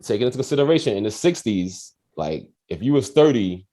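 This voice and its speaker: A man in his forties hosting a casual quiz and chat, telling stories in an animated, slangy way with some profanity. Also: mid-range voice, a little fast. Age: 40s. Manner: animated, profane, acts out quoted voices. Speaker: quiz host on a talk show.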